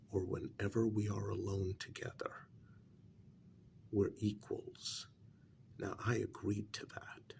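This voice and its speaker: A man playing a calm, blunt human familiar to a vampire queen in a soft, half-whispered ASMR role-play, speaking formally and deliberately. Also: lowish voice, gravelly, full character voice.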